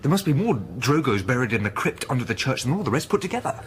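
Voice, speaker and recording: An actor playing a diffident, uneasy vicar in a radio drama, gentle and hesitant. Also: male voice, mid-range voice, big pitch swings, fast, a little hiss or hum, lightly acted. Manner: hesitant, flustered.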